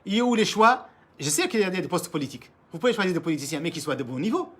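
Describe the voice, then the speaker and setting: A man's voice: high-pitched, very animated, rapid-fire. A man from Mauritania, an Arabic speaker, delivering an impassioned political monologue in French, emphatic and repetitive, often restarting his words and addressing leaders directly. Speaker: political commentator.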